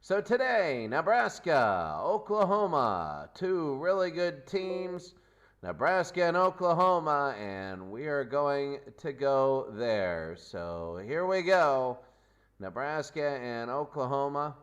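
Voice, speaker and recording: A man's voice: a little high, very animated, takes it slowly, a bit bright, muffled recording. A man hosting a hobby football game, explaining the rules and then calling the plays in an old-time radio announcer style.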